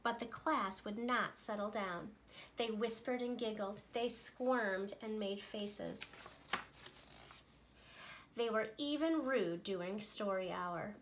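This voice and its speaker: A woman, a teacher, reading a children's picture book aloud with playful, expressive delivery. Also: mid-range voice, slow pace, slight background noise. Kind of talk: storybook read-aloud.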